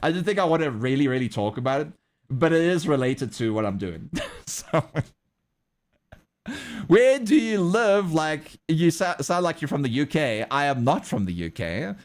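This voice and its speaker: A man's voice: on the high side, big pitch swings, moderate pace. A man from South Africa with a South African English accent mixed with Australian and American, rambling and chuckling as he chats casually with his livestream viewers. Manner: rambling, chuckling often, talks back to chat. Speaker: streamer and artist.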